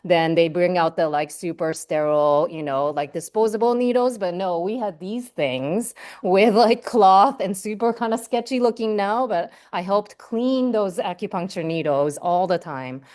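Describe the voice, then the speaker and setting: A woman's voice: mid-range voice, normal speed, hardly any pauses, darkish tone. A woman who grew up in Hawaii, Japanese as her first language, giving a chatty seminar talk full of "um" and "like". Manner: chatty, many fillers like "um" and "like". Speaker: guest seminar speaker. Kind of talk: personal academic seminar talk.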